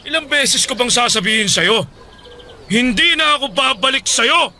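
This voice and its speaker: An actor playing a harsh, exasperated husband in a Filipino radio drama, scolding and emphatic. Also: male voice, extremely high pitch, expressive, moderate pace, sharp tone, full character voice. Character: exasperated husband who left his wife, harsh, fed up. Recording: music behind.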